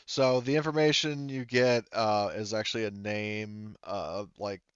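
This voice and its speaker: A man running a tabletop roleplaying game as game master, narrating off the cuff in a halting, think-aloud way. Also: on the low side, fairly even intonation, smooth, dry recording, dull audio.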